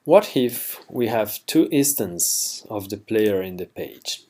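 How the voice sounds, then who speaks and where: Slow, halting man explaining software. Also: fairly low pitch, expressive, slight background noise. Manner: halting, self-correcting, slightly non-native phrasing. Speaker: plugin developer demonstrating software. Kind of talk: unscripted screencast tutorial.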